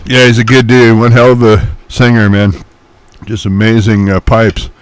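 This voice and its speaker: A man's voice: lowish voice, little pitch movement, normal speed, clean tone, dry recording, rough recording. A man in casual radio chat, telling stories animatedly with loose, mildly profane slang. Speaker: musician chatting on CB radio.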